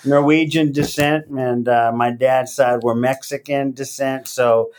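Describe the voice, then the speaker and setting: A middle-aged man who grew up in Latin America talks slowly and hesitantly, with lots of fillers and restarts. His pitch sits in the middle range. He sounds like a guest settling into a casual interview. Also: fairly even intonation, a little mellow. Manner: halting, many fillers and restarts. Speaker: podcast guest.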